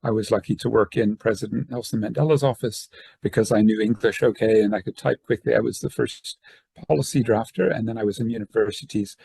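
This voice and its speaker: A man's voice: on the low side, brisk, vocal fry, mellow, breathy edge, no echo. An older man from Northern Ireland, raised in the southern United States, giving a conference talk over a video call in discursive, hesitant phrasing. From born in Northern Ireland, raised in the southern United States. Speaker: academic and activist giving a talk. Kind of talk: semi-formal conference presentation.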